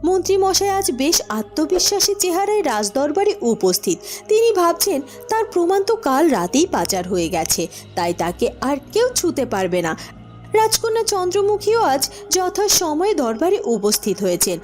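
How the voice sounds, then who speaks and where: A woman's voice: very high voice, big pitch swings, moderate pace, a little mellow, soft background music. A woman narrating a scripted fairy tale as a cartoon storyteller.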